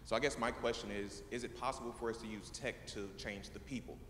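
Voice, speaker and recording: A man's voice: fairly low pitch, fairly even intonation, fast, fairly bright tone, a little hiss or hum. A man in the audience politely asking the panel a question, hesitant and full of fillers. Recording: echoey hall, long reverb.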